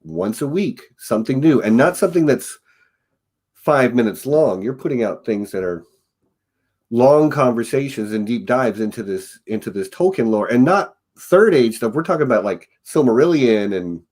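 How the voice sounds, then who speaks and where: A man's voice: medium pitch, expressive, normal speed, a little mellow. A man hosting a podcast, talking over a video call in a friendly, upbeat way. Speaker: podcast host. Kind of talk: casual interview chat.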